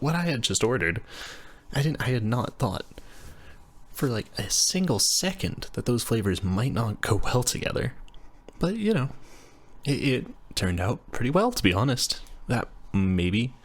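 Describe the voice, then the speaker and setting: A man's voice: mid-range voice, very animated, measured pace. A man voicing a nerdy, flustered engineering student roommate in a casual roleplay audio, stammering and rambling in a self-deprecating way. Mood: animated, playful. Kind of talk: intimate roleplay audio, casual scripted chat.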